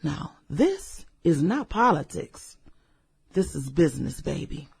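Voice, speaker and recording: A woman playing a brash, flirty, fun-loving businesswoman in a satirical radio drama, with sassy, slangy delivery and drawn-out interjections. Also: sits low, very animated, creaky, husky, heavily acted. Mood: defiant, brash. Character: brash, flirty, fun-loving high-powered businesswoman in her late thirties.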